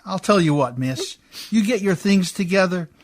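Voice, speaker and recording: A high-pitched, very animated riverboat mechanic played by a man in a radio play. He speaks in rough, folksy, ungrammatical phrases, deferential to a lady yet openly skeptical. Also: moderate pace. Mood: brisk, reassuring. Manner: folksy ungrammatical speech, often adding "miss". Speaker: actor in a community radio theatre. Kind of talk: radio drama acting in an old Hollywood radio style.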